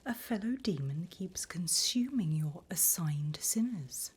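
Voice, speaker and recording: Demon interviewer, played by a woman: female, low slow breathy whisper, polite, sly, mock-formal, ASMR roleplay. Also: lively intonation, a little mellow, heavily acted. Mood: sly, businesslike.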